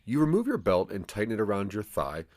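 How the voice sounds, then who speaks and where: A man's voice: on the low side, huge pitch swings, quick pace, a little mellow, no room sound, clean studio sound. A man narrating a scripted audio drama in the second person, with a dramatic storytelling manner.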